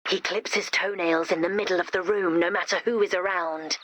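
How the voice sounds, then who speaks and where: A woman's voice: on the low side, fairly even intonation, brisk, fairly bright tone, no room sound. A woman voicing an earnest, chatty young heroine with romantic ideals and a bossy streak in a video game. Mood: wry.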